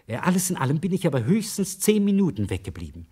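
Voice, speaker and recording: An actor in a radio crime play as an anxious, earnest village schoolteacher who speaks haltingly. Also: male voice, on the high side, huge pitch swings, warm tone, breathy edge, heavily acted. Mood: hesitant, nervous. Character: anxious, hesitant village schoolteacher, a married man under suspicion. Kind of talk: German radio play acting.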